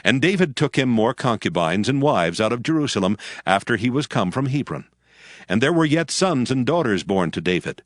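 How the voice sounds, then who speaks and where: A man narrating a dramatized scripture audiobook in a solemn, measured storytelling manner. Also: medium pitch, big pitch swings, no echo, clean studio sound.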